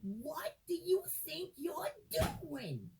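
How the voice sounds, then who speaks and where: A woman playing a grumpy, pesky little weasel who scolds indignantly in a children's storybook recording, with drawn-out words. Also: high-pitched, wildly swooping pitch, background noise, full character voice. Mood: indignant, scolding.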